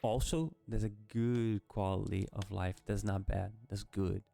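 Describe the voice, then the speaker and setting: A man's voice: fairly low pitch, fairly even intonation, measured pace, gravelly, dark tone, a little hiss or hum. A man with a Brazilian accent, a native Portuguese speaker, giving casual, rambling, self-mocking commentary while playing a game and swearing freely. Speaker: gaming video creator.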